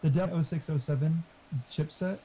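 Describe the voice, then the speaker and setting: A man's voice: fairly high pitch, fairly even intonation, moderate pace, dark tone, slightly rough. A man chatting casually and giving tech advice, swearing freely as he goes. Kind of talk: casual chat.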